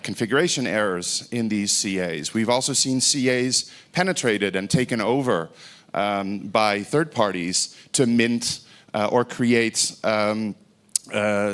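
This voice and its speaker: A man's voice: on the low side, a bit bright. Dutch man, casual conference speaker. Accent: American-sounding English. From Netherlands. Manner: informal, self-deprecating, many fillers and restarts. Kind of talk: technical conference talk. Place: conference stage.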